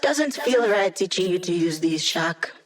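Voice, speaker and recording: A woman's voice: on the low side, lively intonation. An actress voicing a witty young female hacker in a video game, delivering sly, teasing quips to her team. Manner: wry, teasing, quick quips. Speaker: hacker support character.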